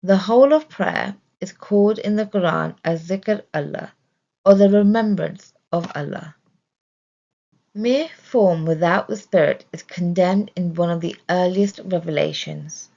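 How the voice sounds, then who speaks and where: Female narrator for religious study audio. Deliberate scripted delivery, some vocal fry, slightly muffled sound. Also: on the low side, warm tone. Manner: measured, deliberate reading. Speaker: reader of religious study text.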